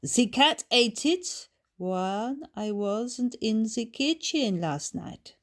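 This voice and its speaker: Woman doing a slow, dour maid. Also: fairly high pitch, animated, voice pushed up. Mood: grudging. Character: unsteady, put-upon French maid, dour and deferential.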